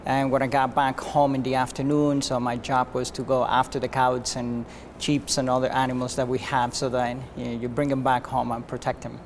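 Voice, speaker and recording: Man from Cuba, physician guest, earnest, measured, restarts sentences, faint background noise. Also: mid-range voice, level tone. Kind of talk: conversational interview answers.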